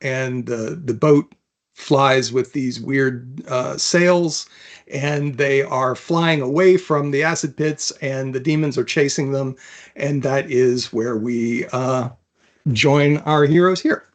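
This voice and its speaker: A man with a medium-pitched voice speaks as a guest author. He has a thoughtful, unassuming delivery, suited to explaining or reading his own fantasy fiction. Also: steady tone, slow pace.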